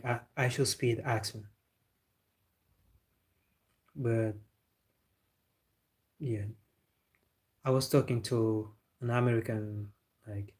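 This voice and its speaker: Young guy chatting on a football live stream, mid pitch voice, says bro a lot, laughs easy. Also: a little mellow. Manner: loose and chatty, calls viewers bro, talks with a laugh. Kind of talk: casual live stream chat, reading viewer comments.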